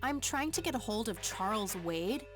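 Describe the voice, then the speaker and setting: A woman's voice: a little high, expressive, moderate pace, fairly bright tone. A woman voicing a blunt, sardonic young woman detective in a video game, with music playing behind her. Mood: dry. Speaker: detective heroine of an adventure game.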